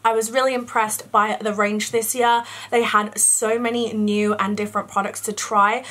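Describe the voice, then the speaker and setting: A young woman with a croaky voice and a cough, chatting casually through a product haul in her bedroom. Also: fairly high pitch, a bit bright. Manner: chatty, rambling, lots of fillers. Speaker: beauty vlogger. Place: bedroom, indoors.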